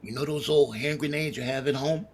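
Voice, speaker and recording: An actor playing a scheming, vengeful condemned gangster with tough slangy talk, in the manner of a 1930s radio crime drama. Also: male voice, fairly high pitch, fairly even intonation, fairly quick, a little mellow, natural voice. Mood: coaxing, menacing.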